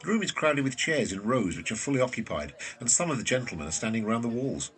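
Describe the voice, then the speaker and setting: A man's voice: mid-range voice, very animated, fast talker. A man reading scripted scene narration for a period drama. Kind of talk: scripted narration of stage directions.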